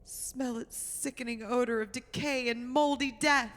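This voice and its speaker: An actress plays an overwrought daughter in an old-time radio horror play. She speaks slowly in a high, piercing voice full of dread, in a hissy, reverberant hall. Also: steady tone, fairly bright tone. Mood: horrified. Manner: dramatic, dread-laden delivery. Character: horror-stricken young daughter, overwrought and melodramatic.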